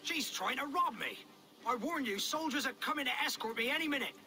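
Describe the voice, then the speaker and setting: An actor playing a greedy, skittish medieval merchant with an exasperated, colloquial manner in a video game. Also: male voice, very high voice, animated, brisk, pushed and bright, exaggerated voice. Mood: indignant. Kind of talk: dramatic video game acting.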